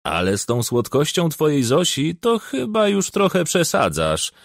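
A man's voice: on the high side, wildly swooping pitch, measured pace, vocal fry, no room sound, clean studio sound, voice pushed up, full character voice. A man voicing a shrewd, self-important, argumentative village party secretary in an audiobook. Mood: contradicting. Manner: argumentative, pompous, bragging.

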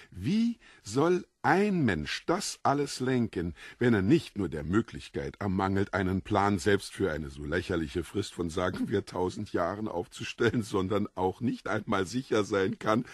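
An actor playing a mysterious foreign stranger, the Devil in disguise, courteous and theatrical, relishing morbid details in an audiobook drama. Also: male voice, sits low, slightly rough, no room sound, clean studio sound. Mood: mockingly courteous.

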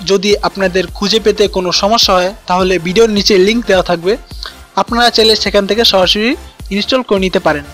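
A man's voice: high-pitched, a bit bright. A man narrating an instructional app tutorial as its host. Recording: voice-over with music behind.

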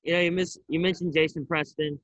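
A young man with a somewhat high voice puts questions as a sports reporter. He is conversational and wordy. The sound is dry, as if close to the mic on a video call. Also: little pitch movement, a little fast, fairly bright tone, dry recording, lo-fi sound. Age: young adult. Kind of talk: press conference questions. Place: indoor arena stands.

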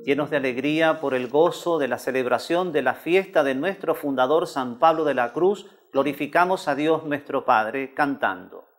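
A man's voice: medium pitch, moderate pace, few pauses. A man in his sixties, a priest leading Mass with solemn liturgical prayer in a small chapel.

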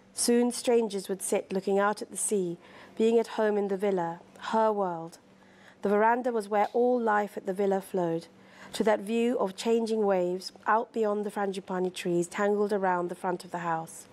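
Measured London-accented woman author. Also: mid-range voice, mellow. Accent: London British. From born in Sri Lanka, grew up in London. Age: middle-aged. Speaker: author guest. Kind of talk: reading aloud from a novel, then interview talk. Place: indoor room, seated.

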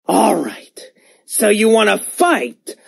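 A woman's voice: mid-range voice, sing-song, slow, gravelly, breathy edge, full character voice. A woman voicing a spiteful, hot-tempered villain steam engine in a cartoon, sneering and threatening. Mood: hostile.

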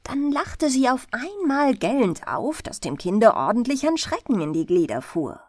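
A woman's voice: a little high, a little slow, no echo, studio quality. A woman reading a bedtime fairy tale expressively as an audiobook narrator. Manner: expressive storytelling.

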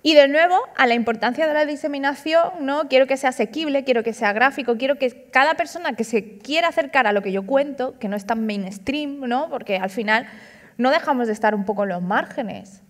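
A woman in her thirties, an author, speaking casually and animatedly at an onstage book talk, tossing in frequent tag questions. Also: a little high. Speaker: author and comic artist. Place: large auditorium stage. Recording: long hall reverb.

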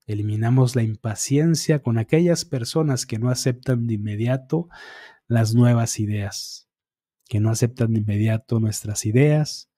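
A middle-aged man leading a guided affirmation session, reciting long repetitive lists in a calm, measured way into a close studio microphone. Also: medium pitch, dry recording. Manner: eyes often closed, fingertip to temple, concentrating. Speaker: energy healing practitioner. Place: small dark room, indoors. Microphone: studio microphone on boom arm, close.

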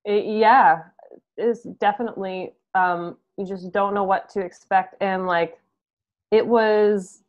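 A young woman chatting casually as a guest, in an informal, halting way. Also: medium pitch, fairly even intonation. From Canada. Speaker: band musician, interview guest. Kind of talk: casual chat. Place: home room, video call. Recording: dull lo-fi video-call audio.

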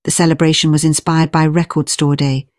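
Low-pitched woman narrating, dry sound. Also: flat delivery, brisk, no echo. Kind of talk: scripted informational narration.

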